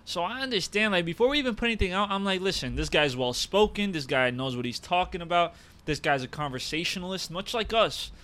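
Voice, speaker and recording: A man's voice: sits high, lively intonation, quick pace, pushed and bright. A young man co-hosting a podcast, joking around in casual banter with lots of fillers, recorded on a table mic. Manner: joking, swears freely, many fillers. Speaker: podcast co-host. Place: small room at a table.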